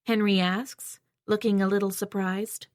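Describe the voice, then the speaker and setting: A woman narrating a survival audiobook in first person. Her voice sits at a middle pitch and her delivery is even and measured. The recording is dry and close, with no room sound around it. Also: no echo.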